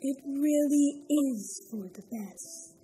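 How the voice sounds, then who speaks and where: A woman's voice: high voice, takes it slowly, warm tone. Woman voicing a cold, coaxing pony flyer. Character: cold, coaxing female shadowy pegasus flyer. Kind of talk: fan audiobook voice acting.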